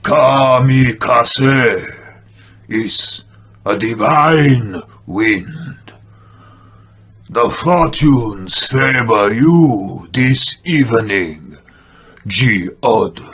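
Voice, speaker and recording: Male actor as theatrical puppet mystic, very slow and solemn, husky, hot-tempered. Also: medium pitch, fairly bright tone, full character voice. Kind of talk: theatrical puppet show acting.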